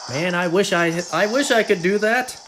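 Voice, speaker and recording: Man, game streamer, casual rambling chat, self-deprecating jokes, slightly high voice. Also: normal speed, fairly bright tone, soft background music.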